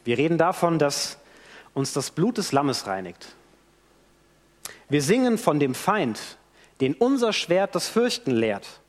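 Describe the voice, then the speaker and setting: A man with a fairly high voice gives a sermon in a church. His pitch rises and falls a lot as he tells the story and acts out the quotes. A long reverb hangs behind him in the hall. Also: unhurried, pauses often. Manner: animated storytelling with acted-out quotes. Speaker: preacher.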